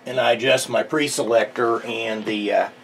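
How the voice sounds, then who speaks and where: A middle-aged man giving an unscripted equipment demonstration, halting and hesitant, with very frequent ums and pauses. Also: medium pitch, steady tone, fairly bright tone, a little hiss or hum, low-quality recording. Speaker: radio collector demonstrating a receiver. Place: indoors at a radio bench.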